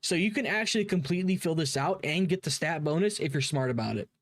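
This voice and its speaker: Higher-voiced guy, casual gaming commentary. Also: expressive, fast talker, dry recording, clean studio sound. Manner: informal, repeats himself emphatically. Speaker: streamer reacting to a game video.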